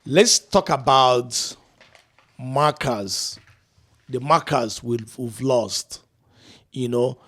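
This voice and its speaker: A man's voice: mid-range voice, extremely slow, pauses often, a bit bright. A middle-aged man from Africa hosting a casual discussion, talking reflectively and tagging lines with "right?" and "you know". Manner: reflective, frequent "right?" and "you know" asides, laughs while telling stories. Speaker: podcast host.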